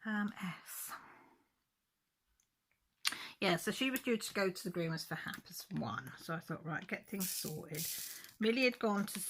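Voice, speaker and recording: A woman's voice: fairly low pitch, a bit bright, breathy edge. A woman chatting casually at home while crafting, rambling and correcting herself about the days, a little stuffy with a cold. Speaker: crafting vlogger.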